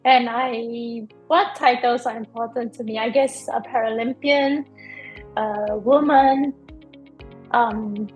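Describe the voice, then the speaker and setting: Young woman, thoughtful and higher-voiced. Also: fairly even intonation, slow pace, dull audio, faint music behind. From Singapore. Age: young adult. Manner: thoughtful, hesitant with fillers. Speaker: Paralympic swimmer, guest. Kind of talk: casual interview answers.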